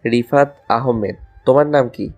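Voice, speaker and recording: A man's voice: mid-range voice, normal speed, darkish tone, lo-fi sound, soft background music, close to an everyday voice. A man playing a cheeky, lovestruck college student with a flippant, teasing way of talking in an audio love story. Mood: polite.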